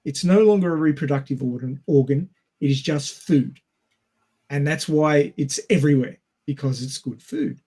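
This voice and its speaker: A man's voice: on the high side, moderate pace, mellow. A man in a casual discussion, explaining things step by step in a teacherly way. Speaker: podcast panellist.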